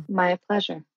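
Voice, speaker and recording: A woman playing a fed-up, sardonic teenage girl in a drama table read, with a husky, creaky voice. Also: medium pitch, dry recording, exaggerated voice. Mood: wry.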